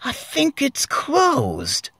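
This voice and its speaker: A man voicing a panicky teenage wizard boy in a high, strained, bleating voice for a story reading. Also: huge pitch swings, very slow, no echo, exaggerated voice. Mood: worried. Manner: loud, bleating.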